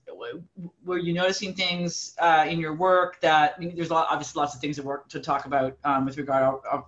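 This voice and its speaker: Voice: mid-range voice, a bit bright. Interview host, chatty, hesitant, filler-heavy, muffled lo-fi audio. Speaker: podcast host. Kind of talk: podcast interview conversation.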